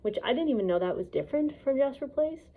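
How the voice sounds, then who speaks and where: A woman's voice: sits high, lively intonation, quick pace. A Canadian woman vlogger talking in a casual, rambling personal monologue, blunt and opinionated, recorded on a phone. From Edmonton, Canada. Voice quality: husky, creaky voice. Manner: blunt, opinionated, hesitant phrasing with frequent swearing. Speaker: vlogger, piano student. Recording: dull phone-recorded lo-fi sound.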